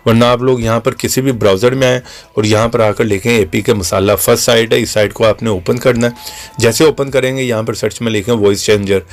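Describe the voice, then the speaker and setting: A man hosting a casual tech tutorial as a voice-over, explaining step by step and addressing viewers directly. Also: fairly low pitch.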